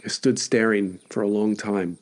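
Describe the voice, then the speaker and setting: Low-voiced man narrating a horror story. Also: fairly even intonation, normal speed. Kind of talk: scripted first-person horror narration.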